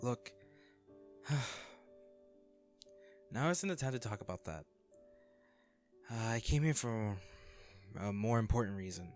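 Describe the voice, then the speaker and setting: A man acting in an audio roleplay as an anxious, self-deprecating young man, a caring old crush who talks haltingly and intimately to the listener. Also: fairly low pitch, lively intonation, a little mellow, faint music behind. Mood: soft, wistful. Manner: hesitant, halting, sighing.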